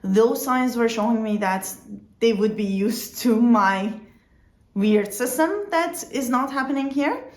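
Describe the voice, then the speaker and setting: Young woman vlogger, non-native English, higher voice, echoey room. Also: a little slow, a little mellow. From outside the Netherlands. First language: not English. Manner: conversational, with fillers and self-corrections. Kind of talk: informal explainer vlog.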